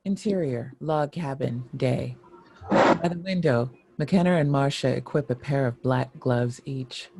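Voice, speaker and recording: A man's voice: mid-range voice, measured pace, vocal fry, a little mellow. A man giving scripted narration, reading a screenplay's stage directions.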